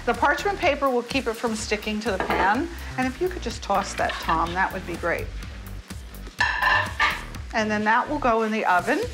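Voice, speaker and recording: A woman's voice: a little high, expressive, moderate pace, low-quality recording. Woman hosting a cooking demo over music. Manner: explanatory, friendly instruction. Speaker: cooking show host. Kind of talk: cooking demonstration. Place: home kitchen. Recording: music bed underneath.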